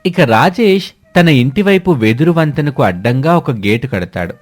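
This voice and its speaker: A man's voice: fairly high pitch, animated, normal speed. A man narrating a scripted story. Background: background music.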